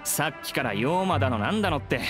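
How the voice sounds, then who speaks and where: A man voicing a gruff, blunt young event organizer in an anime game. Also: fairly high pitch, lively intonation, quick pace, music in the background, close to an everyday voice. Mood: annoyed. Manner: blunt, rough casual speech.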